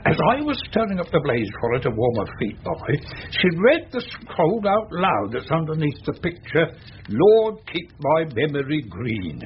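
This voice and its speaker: A fond old father in an old radio play. He rambles in a folksy way, with extremely lively swoops. The sound is muffled with faint noise. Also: a little high, moderate pace. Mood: fond, reminiscing. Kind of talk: old-time radio drama acting.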